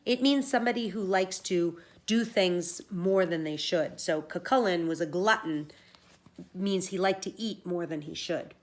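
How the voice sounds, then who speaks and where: A woman's voice: on the low side, unhurried. A woman teacher reading a story aloud to children, expressively and with explanation.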